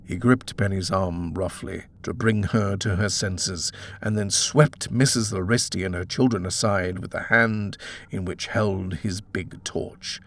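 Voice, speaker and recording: A man's voice: sits low, fairly even intonation, a little slow, a bit bright. A man reading a horror story as a dramatic audiobook narrator.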